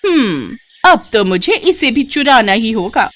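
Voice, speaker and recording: A woman's voice: fairly high pitch, sing-song, unhurried, fairly bright tone, voice pushed down. A woman voicing a sly, envious, nosy female neighbour in a cartoon story, with scheming exclamations. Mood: greedy scheming.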